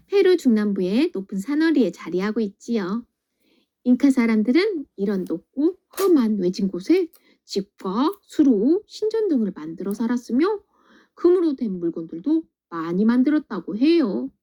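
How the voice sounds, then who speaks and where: A woman teacher reading a children's picture book aloud, her slightly high voice swooping up and down in a sing-song way. She sounds warm and mellow, gently asking young listeners questions as she goes.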